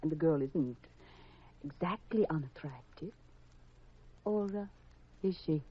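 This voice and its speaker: An actress playing a flirtatious, worldly and evasive femme fatale in a 1950s radio crime drama, coy and teasing, with deliberate hesitations. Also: female voice, sits low, husky, heavily acted. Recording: dull vintage radio recording.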